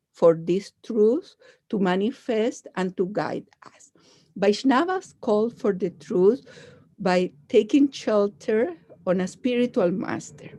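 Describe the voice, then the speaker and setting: A woman with a Chilean accent and Spanish as her first language, a monk giving a spiritual talk to a group in measured, deliberate phrases. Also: mid-range voice, dark tone. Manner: measured, deliberate phrasing with pauses between words. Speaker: Vaishnava monk and spiritual teacher.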